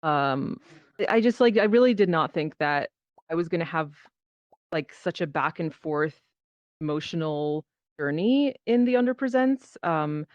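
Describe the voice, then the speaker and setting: Female performer in casual discussion, halting anecdotal delivery, dull close voice-chat audio. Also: sits low, lively intonation, no echo. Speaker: panelist, performer. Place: virtual reality social app session. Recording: muffled online voice chat.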